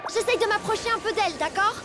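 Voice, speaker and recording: A woman voicing a dramatic, playful young diva in a cartoon, with a theatrical, expressive delivery. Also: extremely high pitch, fast, music in the background. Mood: bossy alarm. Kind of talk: French animated series voice acting.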